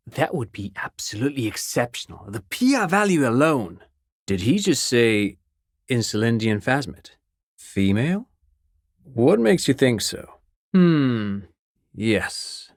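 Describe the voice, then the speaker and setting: A man's voice: mid-range voice, dry recording, studio quality. An actor playing an eager, erudite academic consultant in a game, articulate and long-winded, musing and politely hedging as he speaks.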